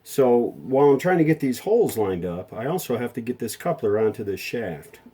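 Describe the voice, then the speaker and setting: A man's voice: mid-range voice, sing-song, moderate pace, warm tone. A middle-aged man narrating hands-on workshop repair in a casual, folksy way, chatting and thinking aloud as he works. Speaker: hobbyist restorer presenting a repair.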